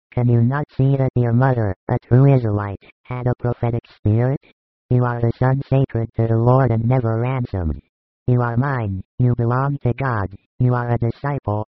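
A man voicing a compassionate, authoritative male spiritual teacher who speaks in a solemn, measured, reassuring way. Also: mid-range voice, no echo, narrow, tinny audio, natural voice. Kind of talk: audiobook reading of a religious narrative.

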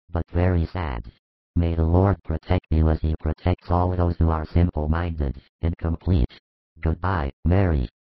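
Brief: a man putting on a low, booming older kinsman, preachy and intolerant, dull lo-fi sound. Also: no echo. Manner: admonishing, pompous. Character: stern older male kinsman, proud and intolerant. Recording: dull lo-fi recording.

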